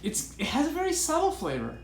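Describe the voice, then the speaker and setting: A man's voice: very high-pitched, wildly swooping pitch, brisk. Male guest, American, enthusiastic casual explainer, upbeat delivery, strong room echo and slight background noise. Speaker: guest, diabetes coach. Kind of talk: casual chat with practical tips. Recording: echoey room with faint noise.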